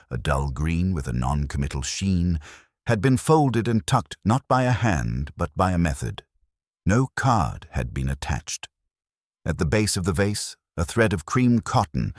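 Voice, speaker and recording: Very deep man narrating a mystery. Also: no room sound. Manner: measured, dry, literary delivery. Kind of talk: scripted audiobook narration of a mystery story.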